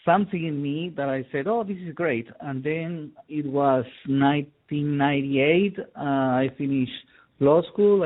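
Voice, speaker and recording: A middle-aged man from Peru speaks as a guest. He explains things casually and hesitantly, with many fillers and repeated words. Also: a little high. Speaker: guest expert on conflict resolution. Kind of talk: phone interview, casual explanation. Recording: tinny phone line.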